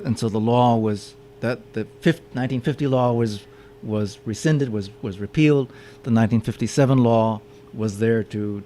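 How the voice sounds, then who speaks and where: A man's voice: medium pitch, a little mellow. A man giving a public lecture with slides, often stopping to repeat his words as he explains. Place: meeting room with audience.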